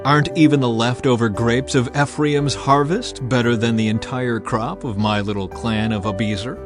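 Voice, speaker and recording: A man voicing a bold, stern Israelite war leader with forceful, declarative oratory in a dramatized audiobook. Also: mid-range voice, fairly even intonation, moderate pace, over music, natural voice. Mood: placating.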